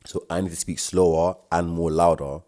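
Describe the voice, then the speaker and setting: A young man with a South London accent, co-hosting a casual podcast chat, talking quickly in slang with repeated words and stammers. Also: low-pitched, level tone, warm tone. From South London, England. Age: young adult. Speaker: podcast co-host.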